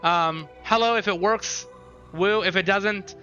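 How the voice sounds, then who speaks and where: A man's voice: high voice, measured pace, bright, forceful tone. A man giving casual, offhand live stream commentary, reacting to a game and chat, slightly dull sound with music behind. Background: faint game music.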